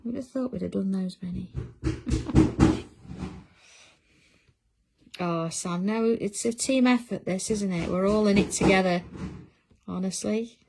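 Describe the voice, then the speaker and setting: A woman's voice: mid-range voice, very animated, takes it slowly, creaky, pauses often, very noisy. A middle-aged woman, hosting a craft livestream in casual chat and answering viewer comments as she works. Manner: chatty, reads out viewer comments while working.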